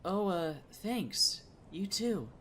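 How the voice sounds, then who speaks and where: A woman's voice: mid-range voice, sing-song, extremely slow, fairly bright tone, slight background noise, exaggerated voice. A woman voicing a shy, lonely, self-doubting teenage boy in an anime-style game fan dub. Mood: hesitant. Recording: music behind the voice.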